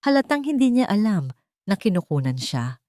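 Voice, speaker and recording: A woman's voice: medium pitch, very animated, normal speed, a little mellow, no room sound, clean studio sound. A woman reading a dramatic romance story as a scripted narrator.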